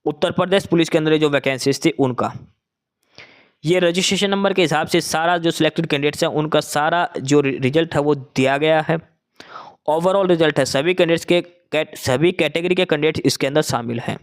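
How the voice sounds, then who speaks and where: A man's voice: fairly high pitch, brisk. A man who hosts an exam-results channel, explaining cutoff lists in a casual, repetitive way, often restarting phrases and switching numbers into English.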